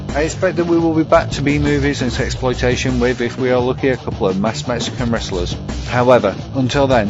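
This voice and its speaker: A man presenting scripted film commentary in a measured, wordy, mock-academic manner. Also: mid-range voice. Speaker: segment presenter, vampire film reviewer. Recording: dull audio over music bed.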